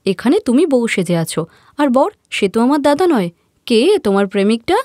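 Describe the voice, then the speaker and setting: A woman acts out a haughty, scheming young sister-in-law from a cartoon family drama. The voice is high and very animated, close and dry. Each phrase is melodramatic, taunting and accusing. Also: a little fast, no room sound. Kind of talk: Bengali cartoon story voice acting.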